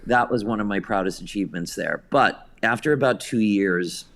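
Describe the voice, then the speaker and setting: A man's voice: fairly low pitch, moderate pace, fairly bright tone. A man being interviewed as a theatre director, telling stories conversationally and acting out bits of dialogue. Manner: anecdotal, hesitant phrasing, acts out quotes.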